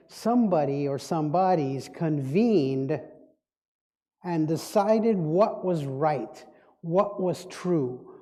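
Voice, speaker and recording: A man's voice: fairly high pitch, mellow. Man, guest speaker at a spiritual gathering, musing, repeats himself, long hall reverb. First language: Dutch. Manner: reflective, musing, circles back on words. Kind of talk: spiritual talk.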